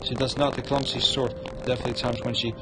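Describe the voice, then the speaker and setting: A man livestreaming a visual novel and reading its narration aloud in a halting, stumbling way. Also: mid-range voice, flat delivery, very noisy. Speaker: game streamer. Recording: loud music under the voice.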